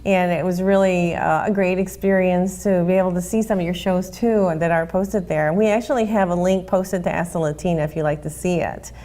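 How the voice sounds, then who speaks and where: A woman's voice: fairly low pitch, fairly even intonation, brisk, few pauses, dark tone. An American woman who grew up speaking Spanish, hosting a conversational interview in an agreeable, affirming manner. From United States. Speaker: interview host.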